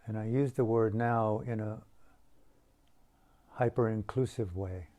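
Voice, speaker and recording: A man with a low, mellow voice teaches a guided meditation. He speaks very slowly and deliberately in short phrases, leaving long pauses. His delivery is hushed.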